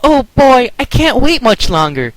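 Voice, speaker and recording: A man voicing an eager young female unicorn pony in a high falsetto. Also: big pitch swings, measured pace, a bit bright, no room sound, heavily acted. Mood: excited.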